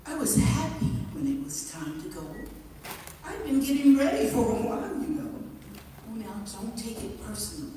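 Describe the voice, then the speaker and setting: A woman reciting a poem at a memorial service with emphatic, dramatic, deliberate phrasing. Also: a little high, big pitch swings, warm tone. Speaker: poet reading their own poem at a memorial service. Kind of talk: expressive spoken-word poetry recitation. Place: large echoing hall at a memorial service. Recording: distant, echoey hall with clear background noise.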